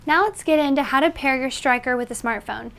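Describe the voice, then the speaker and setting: Woman doing a how-to product demo, talks fast, high voice, step by step. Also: a bit bright. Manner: instructional, step-by-step. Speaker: product presenter. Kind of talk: tutorial narration.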